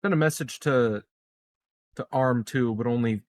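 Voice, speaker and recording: A man's voice: medium pitch, a little slow. A man playing a tabletop roleplaying game, talking casually through decisions in character.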